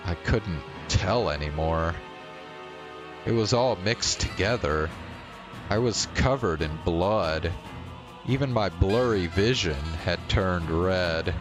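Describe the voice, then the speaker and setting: A man streaming a visual novel, reading its first-person text aloud as dramatic narration in deliberate, measured phrases. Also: deep voice.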